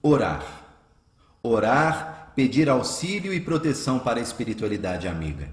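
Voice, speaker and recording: A man playing a calm, devout, persecuted healer and dutiful son in a radio drama, earnest and measured. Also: mid-range voice, natural voice. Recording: roomy echo, faint music behind.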